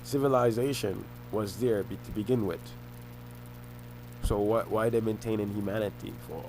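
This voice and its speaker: Young man, lowish voice, halting rambling monologue with restarts, faint hum behind. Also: a little mellow, low-quality recording. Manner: halting, repeats and restarts phrases. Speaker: vlogger.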